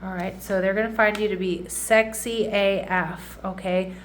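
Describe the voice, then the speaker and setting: A woman's voice: medium pitch, steady tone, moderate pace, slight background noise. A woman giving a casual tarot reading who sounds congested and nasal, chatting and often checking in with her audience. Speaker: tarot reader.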